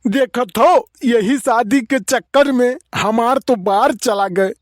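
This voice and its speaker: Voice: medium pitch, takes it slowly, no echo, studio quality, lightly acted. A performer voicing a nosy, gullible village bachelor in a comedy cartoon, drawling inquisitively in an Awadhi accent. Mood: eager, admiring.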